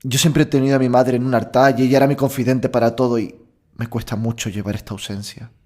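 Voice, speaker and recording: A man's voice: mid-range voice, little pitch movement, fast, a little mellow, close to an everyday voice. A male actor playing a proud young bullfighter, confiding earnestly in conversational monologue. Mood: tender grief. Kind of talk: intimate dramatic monologue in an audio drama.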